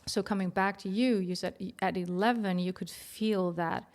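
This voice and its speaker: A woman's voice: mid-range voice, steady tone, moderate pace, darkish tone. A woman from Iceland hosting a casual podcast interview, chatty and full of "like.". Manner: chatty, heavy use of "like". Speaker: podcast host.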